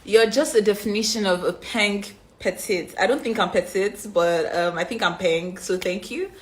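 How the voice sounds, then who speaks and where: A woman in her twenties with a Nigerian accent, reading out fan questions and answering them in a relaxed, rambling way with plenty of ums. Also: mid-range voice, normal speed. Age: 20s. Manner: reads fan questions aloud then answers chattily with many ums. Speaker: reality show contestant answering fan questions. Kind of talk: casual live-stream Q&A. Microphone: phone on a live stream.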